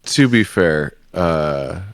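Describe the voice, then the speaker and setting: A man's voice: sits low, expressive, very slow. A man talking casually as a guest on a livestream group chat.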